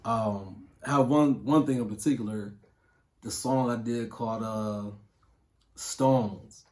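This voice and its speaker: A man's voice: fairly low pitch, takes it slowly, darkish tone. A man in his thirties from Ohio, a music producer answering interview questions in a loose, casual way with plenty of fillers and slang. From Toledo, Ohio. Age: 30s.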